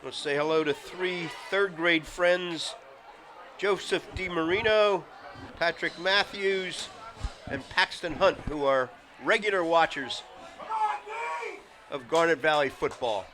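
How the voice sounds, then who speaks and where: A man's voice: on the high side, slow pace. A man doing live football play-by-play as the game announcer, in clipped phrases that build on big plays. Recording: noisy outdoor game with crowd behind. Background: crowd and game noise.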